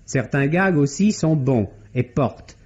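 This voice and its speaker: A man reading a scripted film review as a radio critic, measured, literary and articulate, in the style of 1950s radio. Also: on the high side, animated, a little mellow. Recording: dull vintage 1950s radio recording.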